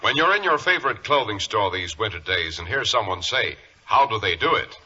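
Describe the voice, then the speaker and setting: A man's voice: on the low side, animated, brisk, very noisy. An American man announcing in 1940s radio style, reading scripted copy with a polished, emphatic sales delivery. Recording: dull, narrow old radio recording.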